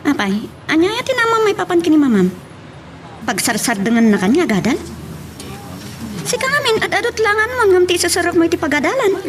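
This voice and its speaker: A woman's voice: very high voice, very animated, fairly quick, long pauses. An actress playing a nosy young best friend in a radio romance drama, frank and teasing in her manner. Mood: probing. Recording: noisy radio drama track.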